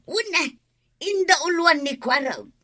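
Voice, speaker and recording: An actress playing a bossy, gossipy village storyteller in a radio comedy drama, exaggerated and stubborn. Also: female voice, very high-pitched, animated, a little slow, pushed and bright, heavily acted. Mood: bossy, huffy.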